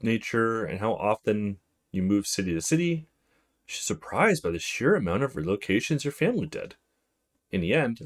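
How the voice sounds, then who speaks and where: A man hosting a let's play, chatting to viewers and reading visual novel text aloud. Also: mid-range voice. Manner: chatty, greets and addresses viewers directly. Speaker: let's player, host of a small gaming channel.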